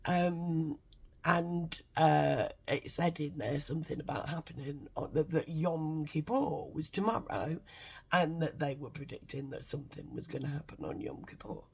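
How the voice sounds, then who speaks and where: A woman with a congested, bunged-up nose, rambling excitedly and swearing a lot in a casual vlog from home. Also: sits low, normal speed, creaky, slightly rough. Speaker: vlogger.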